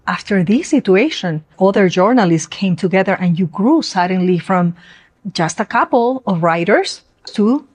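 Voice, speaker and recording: A woman's voice: mid-range voice, expressive, normal speed, darkish tone, slightly rough, no echo. A woman from Ecuador interviewing a student on the radio, asking long, winding questions.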